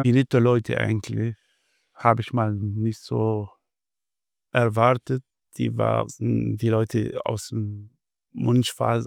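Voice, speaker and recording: Man, gravelly, halting, searching for words, dry close sound. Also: medium pitch, darkish tone, no room sound. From Syria. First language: Arabic. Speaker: interview guest, bank customer adviser. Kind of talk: casual interview answers.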